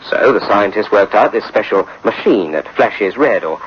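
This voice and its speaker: A man's voice: fairly low pitch, expressive, moderate pace, slight background noise, close to an everyday voice. A man playing a folksy police captain in a radio drama, explaining things in a rambling, colloquial way on a muffled old recording. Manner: hesitant, repetitive, colloquial explaining. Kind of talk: 1960s-style radio drama acting.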